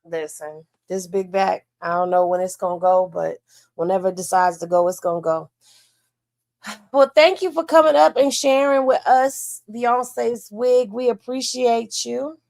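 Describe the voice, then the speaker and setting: A woman's voice: mid-range voice, a little slow, creaky, a little mellow. A woman hosting a livestream, chatting casually in with a drawling, folksy way of gossiping. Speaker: livestream host.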